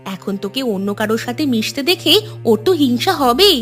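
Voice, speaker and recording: Woman voicing a high-pitched, outspoken village girl. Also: sing-song, moderate pace, a bit bright. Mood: urgent. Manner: retorting, questioning. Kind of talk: cartoon voice acting. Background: background music.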